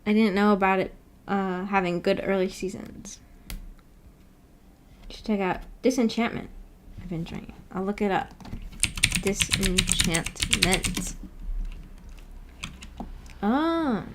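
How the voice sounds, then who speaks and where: A woman's voice: mid-range voice, slow, long pauses, slight background noise. A woman hosting a livestream, chatting casually and reading out viewer comments in a giggly, chatty way. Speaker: streamer and host.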